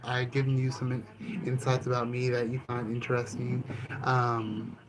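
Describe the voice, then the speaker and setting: A man hosting his own channel, answering questions about himself in a calm, easygoing way, with many ums and restarts. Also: medium pitch, normal speed. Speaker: channel host and author. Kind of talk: casual self-interview answering questions. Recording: noticeable background ambience noise.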